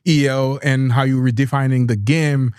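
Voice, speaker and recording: A man's voice: mid-range voice, fairly even intonation, moderate pace, darkish tone, clean tone, dry recording. A man from Haiti hosting a talk show in a studio, upbeat and eager as he asks questions. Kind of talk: casual interview chat.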